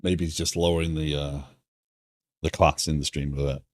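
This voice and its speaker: A man with a British accent softened by years in America, chatting casually on a coding live stream and thinking aloud in a stop-start way. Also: extremely low pitch, flat delivery, normal speed, no room sound.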